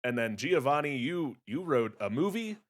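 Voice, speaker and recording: A man's voice: medium pitch, big pitch swings, moderate pace. A man co-hosting a podcast, talking casually and jokingly as he gives his critique. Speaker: podcast co-host.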